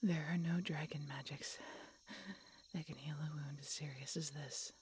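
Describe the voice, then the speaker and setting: Casting: actress for ancient dying dragoness, low whispery voice, tender, halting and pained, audio roleplay, slightly noisy recording. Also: female voice, fairly even intonation, darkish tone, close to an everyday voice. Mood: pained, grave. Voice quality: breathy, whispery. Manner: halting, weakened, pained delivery with dramatic pauses. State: weak and in pain. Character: mortally wounded centuries-old female dragon, tender and resigned. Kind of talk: dramatic audio roleplay addressed to listener.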